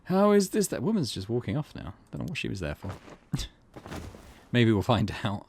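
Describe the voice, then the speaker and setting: Easygoing man with lively pitch. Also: normal speed, creaky, slight background noise. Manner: easygoing, thinking aloud. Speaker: gaming channel host. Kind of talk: casual let's play commentary.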